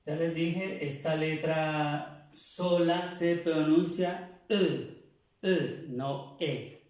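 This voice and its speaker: A man's voice: on the high side, steady tone, extremely slow, a little mellow. A man from Latin America teaching French pronunciation, repeating each rule and correcting himself as he goes. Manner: repeats rules, corrects himself mid-sentence. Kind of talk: explaining pronunciation lesson. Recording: very echoey room.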